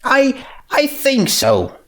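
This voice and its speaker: Man voicing a high-pitched, meek unicorn mare. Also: huge pitch swings, takes it slowly, heavily acted. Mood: resigned. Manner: hesitant, apologetic. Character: anxious, guilt-ridden young female unicorn, bookish and meek.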